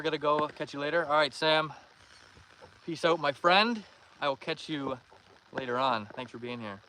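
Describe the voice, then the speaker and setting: A man's voice: on the high side, sharp tone. A man with a Canadian accent chats casually as a livestream host, reading out and answering viewer comments in a friendly, welcoming way. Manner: friendly, welcoming, thanks and greets viewers by name. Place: outdoors in a canoe on a pond. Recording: noisy outdoor recording with water and canoe sounds.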